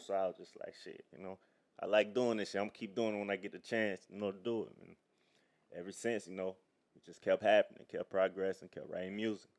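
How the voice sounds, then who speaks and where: A man from South Carolina joins a podcast as a guest artist. His voice sits very low. He talks in a relaxed, slangy way and often pauses to find his words. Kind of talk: casual interview chat.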